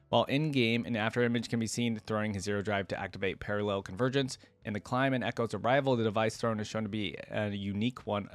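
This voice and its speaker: A man's voice: fairly low pitch, no room sound. A man hosting a reaction channel, reading aloud and adding casual, conversational commentary. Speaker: host of a game lore reaction channel.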